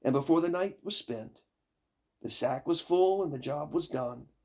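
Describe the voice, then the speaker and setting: An older man with a gravelly, raspy voice reading a fairy tale aloud in a measured, expressive storytelling manner. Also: a little high. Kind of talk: scripted fairy tale narration.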